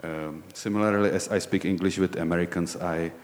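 A man in his forties with a Czech accent, a lay preacher giving a sermon in a halting, candid way, often searching for words. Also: low-pitched, fairly even intonation, in a large hall. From Czech Republic.

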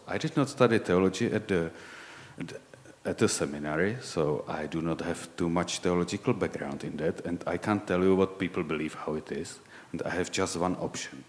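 Deep-voiced czech guy in his 40s preaching, halting and searching for words, big echoey church hall. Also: a little mellow. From Czech Republic. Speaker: lay preacher. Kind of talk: sermon.

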